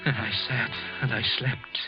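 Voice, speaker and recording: An actor playing a frightened, guilt-ridden office clerk confessing in a halting 1940s radio suspense narration. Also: male voice, mid-range voice, steady tone, loud music behind the voice, close to an everyday voice. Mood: brooding. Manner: tense, halting, repeating himself. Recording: muffled old radio recording.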